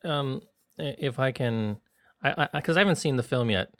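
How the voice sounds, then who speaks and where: A man's voice: medium pitch, normal speed, a bit bright, no room sound, clean studio sound. A man co-hosting a casual film chat, often repeating words as he starts sentences. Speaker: film podcast co-host.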